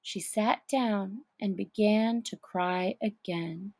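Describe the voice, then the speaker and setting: A woman giving a deliberate, expressive reading of a children's story as narrator. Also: mid-range voice, vocal fry, dry recording. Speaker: storybook reader.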